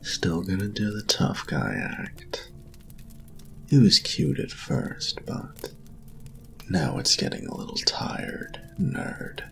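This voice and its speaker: Man playing a very deep, gravelly, smug bully. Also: very animated, fairly bright tone, a little hiss or hum, full character voice. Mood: mocking. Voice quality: gravelly, often dropping to a whisper. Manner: taunting, breathy, drawn-out delivery. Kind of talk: intimate roleplay audio acting.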